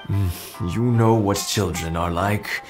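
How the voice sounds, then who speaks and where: An actor playing a gentle elderly husband in a video game, thoughtful, nostalgic and a little hesitant. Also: male voice, deep voice, slow pace. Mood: pensive. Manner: hesitant, reflective. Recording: music under the dialogue.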